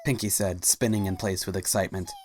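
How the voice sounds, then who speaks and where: Very deep-voiced woman narrating a story. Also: brisk, darkish tone. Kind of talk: scripted story narration in a dramatic reading.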